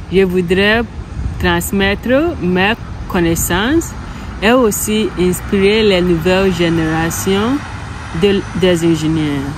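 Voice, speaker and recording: Young woman, English speaker, slow, hesitant French-learner delivery over a constant noisy hum. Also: fairly low pitch. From Ghana. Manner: hesitant, halting, self-correcting. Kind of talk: practice speaking exercise. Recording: steady background hum.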